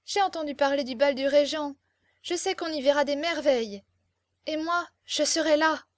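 A woman's voice: high voice, moderate pace, dry recording, clean studio sound, voice pushed up, lightly acted. A woman reading a storybook, voicing a fiery, imperious young captive beauty with impassioned, theatrical exclamations. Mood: elated.

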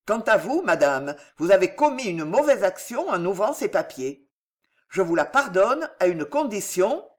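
A woman's voice: medium pitch, big pitch swings, normal speed. A woman voicing a courteous, resolute young male chevalier in an audiobook story, formal and probing. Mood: firm. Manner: formal, polite, questioning. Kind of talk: storybook character acting.

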